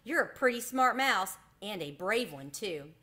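A woman voicing an eager, grateful young boy in a storybook, earnest and excited. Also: mid-range voice, a little slow, voice pushed up. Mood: amazed, grateful.

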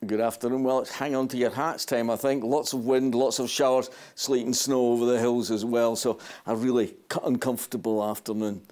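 A man's voice: mid-range voice, flat delivery, fairly quick, hardly any pauses, a little mellow. A man in his fifties presenting a weather forecast in a chatty, conversational way from a studio. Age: 50s. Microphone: lapel mic.

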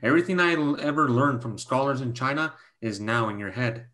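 A man's voice: medium pitch, fairly quick, sharp tone, lightly acted. Man reading grumbling young Chinese mathematician. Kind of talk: staged play reading. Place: home room, video call.

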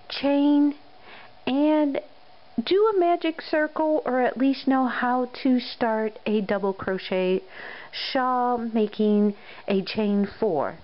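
A woman hosting a crochet how-to, her voice still a bit scratchy and hoarse after a cold, explaining things in a friendly, step-by-step way. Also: mid-range voice, dull audio. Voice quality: slightly scratchy, recovering voice. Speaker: crochet tutorial host. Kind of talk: casual how-to narration.

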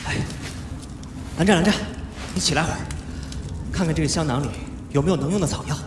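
Guy acting cheeky young wuxia swordsman, high swoopy voice, chatty n teasing, live audio drama in a big echoey hall w music. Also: fast, noisy background, lightly acted. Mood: cheerful, teasing. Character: playful, cheeky, talkative young male wuxia cultivator. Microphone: handheld microphone.

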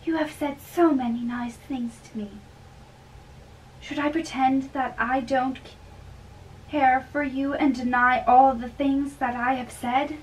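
A woman's voice: high voice, steady tone, a little slow, a little hiss or hum. A woman acting an anxious, bashful, girlish young noblewoman in love, in an amateur dramatic reading. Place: small bedroom, indoors. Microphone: far camera. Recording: distant room microphone.

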